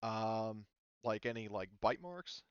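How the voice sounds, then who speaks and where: Smooth low guy gming a tabletop game, casual n halting, kinda muffled dry audio. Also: lively intonation, no echo. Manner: halting, casual, mildly profane. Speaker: game master. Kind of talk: improvised tabletop game narration.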